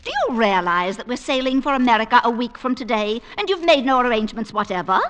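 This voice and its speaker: An actress playing a bossy, domineering middle-aged wife in an old-time radio drama, scolding and imperious. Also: female voice, fairly high pitch, sing-song, fast talker, fairly bright tone.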